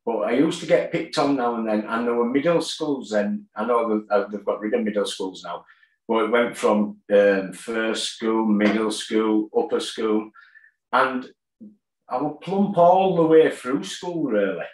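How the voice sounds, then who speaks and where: A man, telling his story plainly in a video-call interview, with fillers and pauses. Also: on the low side. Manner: plain-spoken, halting with fillers. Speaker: guest, boxer and gym owner. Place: kitchen at home, indoors. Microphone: tablet on a video call. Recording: echoey room.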